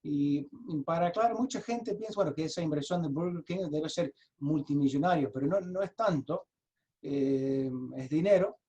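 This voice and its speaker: An American-accented man, a native English speaker, presents over a video call in a fairly high but mellow voice. He explains step by step as a lawyer would. He is halting, often repeats words and restarts his sentences. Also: muffled recording. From United States.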